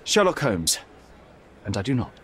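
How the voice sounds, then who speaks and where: An actor playing a stern young detective in a video game, cold and righteous, speaking in a clipped, accusatory, sardonic manner. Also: male voice, mid-range voice, very animated, moderate pace, a bit bright, husky, heavily acted. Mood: curt, questioning. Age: young adult. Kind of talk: video game cutscene acting.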